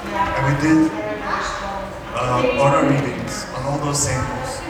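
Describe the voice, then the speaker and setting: A man's voice: mid-range voice, animated, slow pace. Male spiritual teacher from Serbia, middle-aged, addressing a room; distant in a reverberant hall. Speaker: spiritual teacher giving a lecture. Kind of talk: public lecture to an audience. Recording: echoey hall from far off, loud noise.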